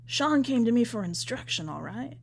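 A woman's voice: fairly high pitch, big pitch swings, moderate pace, creaky, breathy edge, pitched above the performer's own voice, exaggerated voice. A woman voicing a pious, folksy bearded male preacher in a prison story, evasive and sanctimonious. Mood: defensive, pleading.

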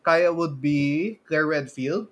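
A man's voice: a little high, slow pace, fairly bright tone. A Filipino-accented man co-hosting a podcast, chatting casually about films and games and often chuckling as he talks. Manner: chuckles often while talking. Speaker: podcast co-host.

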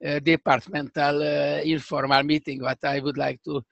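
An older man with a strong Hungarian accent answers interview questions in careful, halting English. His voice sits a little high and has a gravelly fry. The sound is dry and close. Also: little pitch movement, a bit bright, dry recording, dull audio. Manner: halting, searching for words, many restarts. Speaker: professor guest. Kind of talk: remote interview conversation.

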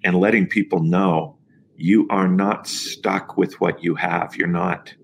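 A man's voice: deep voice, fairly even intonation, slow pace, creaky, slight background noise. A man in his fifties, a nutrition coach talking as a guest on a podcast interview, emphatic and motivational. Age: 50s.

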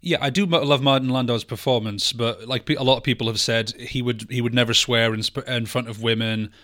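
Male co-host, rapid and bright, eager conversationalist. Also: medium pitch, fairly even intonation. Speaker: podcast co-host. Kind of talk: casual podcast conversation.

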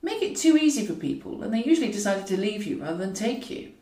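A woman narrates a novel in a wry storytelling manner. Her voice sits at a middling pitch. The recording is roomy and echoing, with her some way from the microphone. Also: sing-song, fast, mellow. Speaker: author reading own audiobook. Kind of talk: scripted fiction narration. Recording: roomy echo, distant from mic.

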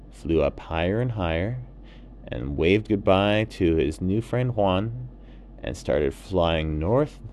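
A man's voice: fairly low pitch, warm tone, slight background noise. A man narrating a bedtime story for young children in simple, repetitive phrases. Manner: simple, repetitive, asks listeners questions.

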